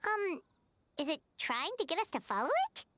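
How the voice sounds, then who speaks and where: A woman voicing a small, childlike fairy companion in a video game, curious, chatty and excitable, with a squeaky voice. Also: normal speed, slight background noise. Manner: excitable, speaks of self in third person. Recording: music behind the voice.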